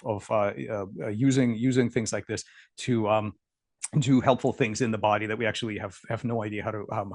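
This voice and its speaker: A man giving a scientific lecture over slides, halting with many fillers and repeats, and losing his voice. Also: medium pitch, darkish tone, dry recording, studio quality. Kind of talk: academic talk over slides.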